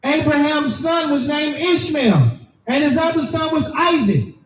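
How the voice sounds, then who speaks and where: A man delivers a loud, emphatic sermon, explaining scripture line by line. His voice sits very high and rings with echo. He is recorded from some distance, with faint ambient noise behind him. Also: animated, unhurried. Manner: loud, emphatic, preaching to a crowd. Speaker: street preacher. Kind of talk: shouted sermon with scripture reading.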